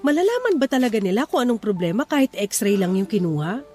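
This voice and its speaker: A woman's voice: fairly high pitch, very animated, fast, darkish tone, faint music behind. A woman playing an anxious young wife and first-time mother, fretful and questioning, in a radio drama. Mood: worried.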